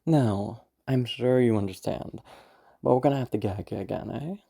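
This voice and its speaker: Woman acting a gravelly, low-voiced amused male kidnapper. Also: moderate pace, warm tone, full character voice. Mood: amused, coaxing. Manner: folksy, drawling, uses "ain't" and "eh". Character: rough adult male kidnapper, amused, casually menacing.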